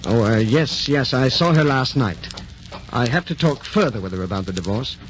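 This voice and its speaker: A dashing, devoted naval hero, played by a man in an old radio romance. The delivery is gallant and theatrical with very lively intonation. The sound is dull, with clear background noise. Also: mid-range voice, normal speed, close to an everyday voice. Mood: reassuring. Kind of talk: old-time radio drama acting.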